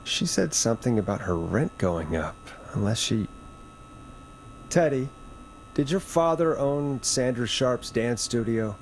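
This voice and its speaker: An actor playing an earnest late-night radio DJ in a video game, questioning callers in a steady, probing manner. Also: male voice, mid-range voice, a little slow, a little hiss or hum, poor audio. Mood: deductive. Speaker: radio host.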